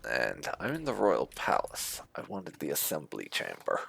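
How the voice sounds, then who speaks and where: A man giving casual, musing roleplay commentary over a video game, with a gravelly voice. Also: very deep voice, huge pitch swings, moderate pace, a bit bright, slight background noise. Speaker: gaming video host.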